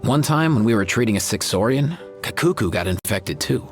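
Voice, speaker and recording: A man's voice: fairly low pitch, lively intonation, normal speed. A man playing an easygoing, sincere young creature veterinarian in a video game, speaking in casual slang. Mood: somber, sincere. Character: laid-back, caring young male creature veterinarian. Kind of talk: video game character acting. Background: faint music.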